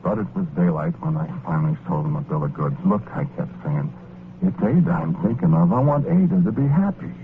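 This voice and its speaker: A man's voice: low voice, expressive, fairly quick. An actor with an American accent playing a well-meaning, exasperated working-class ghost who tells his story in slangy 1940s radio drama style. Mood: earnest, exasperated. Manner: colloquial, folksy slangy storytelling. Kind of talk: 1940s radio horror-comedy acting, first-person narration. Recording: scratchy, noisy, narrow-band old radio recording.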